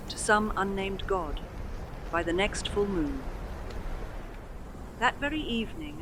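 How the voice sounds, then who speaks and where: A voice actress narrating as a lone mother telling her tale in slow, measured storybook phrasing, with a music score behind her. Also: fairly low pitch, background noise, lightly acted.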